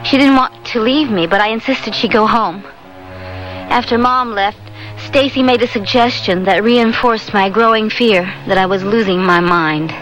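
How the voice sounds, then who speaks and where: An actress playing an anxious, frightened young student in a radio drama, speaking earnestly and haltingly. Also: female voice, medium pitch, fairly bright tone, soft background music, natural voice, low-quality recording. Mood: somber narration. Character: frightened, troubled young woman student haunted by nightmares. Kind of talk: 1970s radio drama acting.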